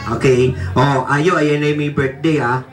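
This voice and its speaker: A puppeteer hosting a live comedy quiz for children, exclaiming and mock-scolding in an animated way. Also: medium pitch, measured pace, soft background music. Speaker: puppeteer and children's party host.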